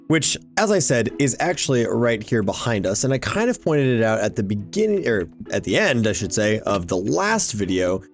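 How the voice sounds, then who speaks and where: A man giving casual, enthusiastic gameplay commentary, chatting and rambling as he hosts. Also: lowish voice. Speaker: video game YouTuber, host. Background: background music.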